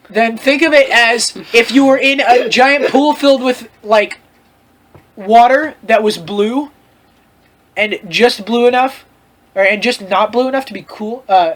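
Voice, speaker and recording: A host reading fan fiction aloud for comedy, in an animated, mock-lecturing way with frequent asides. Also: medium pitch. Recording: clear background noise with clicks.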